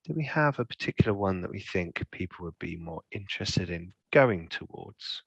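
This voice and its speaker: Low-voiced game master. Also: male voice, expressive, normal speed, a bit bright, no echo, dull audio. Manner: affirming, frequent throat clearing. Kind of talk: running a tabletop game session.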